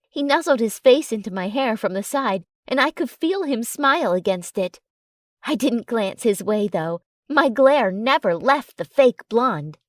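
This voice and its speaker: A woman's voice: on the high side, no room sound, clean studio sound. A woman reading scripted first-person fiction as an audiobook narrator.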